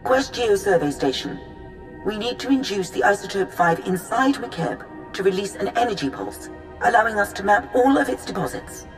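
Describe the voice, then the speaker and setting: Lively female survey scientist actress. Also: medium pitch, normal speed, soft background music, natural voice. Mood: brisk. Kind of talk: scripted video game acting.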